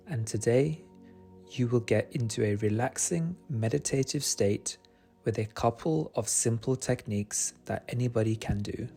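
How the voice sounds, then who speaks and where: A man guiding a meditation in a soothing, gentle and unhurried manner. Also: medium pitch, creaky. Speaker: meditation guide. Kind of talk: guided meditation narration. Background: soft meditation music.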